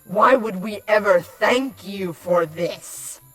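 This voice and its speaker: A woman's voice: medium pitch, takes it slowly, over loud music, natural voice. A woman voicing a haughty, venomous monster queen in an indignant, imperious fan fiction reading. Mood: disgusted, indignant.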